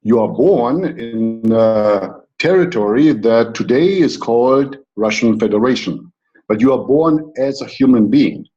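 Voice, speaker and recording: A middle-aged man from Germany with a German accent, a coach giving a slow, deliberate, reflective talk with pauses. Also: mid-range voice, dark tone, dull audio, soft background music. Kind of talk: lecture-style talk.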